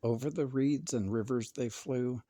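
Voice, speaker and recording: A storyteller reading a rhyming children's book aloud in an expressive, rhythmic way. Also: medium pitch, unhurried, no echo. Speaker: storybook narrator.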